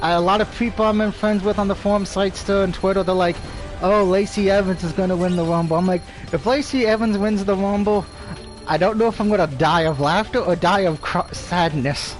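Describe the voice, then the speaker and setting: A man's voice: high voice, brisk, soft background music, rough recording. A man casually commentating on a live game stream, rambling and swearing, with opinions on everything and a speech impediment.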